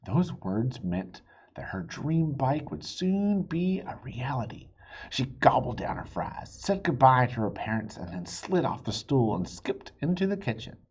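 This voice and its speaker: A man reads a children's story aloud with very animated, swooping intonation. His voice is husky and expressive, and he drops in little asides. The recording is slightly dull. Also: medium pitch, measured pace. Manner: expressive, with spoken asides and stumbles. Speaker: children's book reader. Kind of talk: animated read-aloud narration.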